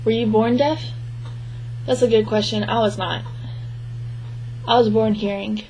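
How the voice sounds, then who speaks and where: A woman gives a spoken interpretation of a signed interview. Her voice sits a little high and stays flat and level. She talks in an even, measured way and often breaks off and restarts. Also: a little hiss or hum, rough recording. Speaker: sign language interpreter voicing a deaf man's answers.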